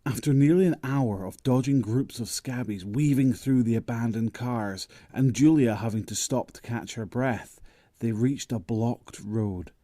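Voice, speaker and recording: A man's voice: medium pitch, a little slow, warm tone, no echo, studio quality. A man narrating a scripted dramatic story.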